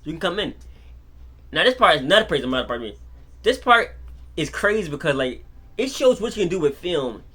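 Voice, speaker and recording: A man giving an unscripted casual film review, excitable and rambling, often repeating his words as he retells scenes. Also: on the high side, brisk, slightly rough.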